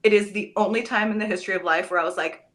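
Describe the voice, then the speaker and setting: A woman, a Broadway actress, chatting as a podcast guest, telling stories with lively enthusiasm and the odd casual swear word. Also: medium pitch, racing. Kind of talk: casual podcast interview chat.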